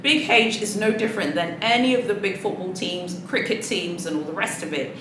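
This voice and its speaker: A woman's voice: medium pitch, a little fast. A woman whose first language is Swahili, casually chatting to her viewers in a sassy, rambling manner with many asides. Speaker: luxury handbag vlogger. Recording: echoey room with background noise.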